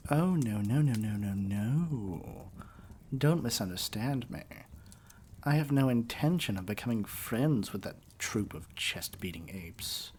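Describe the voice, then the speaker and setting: Evil king for ASMR roleplay, male actor: lowish, unhurried, haughty and menacing, with noise behind the voice. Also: a little mellow. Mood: scheming disdain. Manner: pompous, deliberate, dripping with disdain. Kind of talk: theatrical ASMR roleplay monologue.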